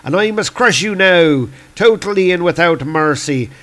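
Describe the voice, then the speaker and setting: A man's voice: high voice, animated, normal speed, pushed and bright, voice pushed up. A man voicing a proud, furious warrior villain from a comic book, declaiming in grandiose archaic speech. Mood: menacing. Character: Lear, proud furious Celtic warrior villain, bombastic and defiant. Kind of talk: reading comic book dialogue aloud.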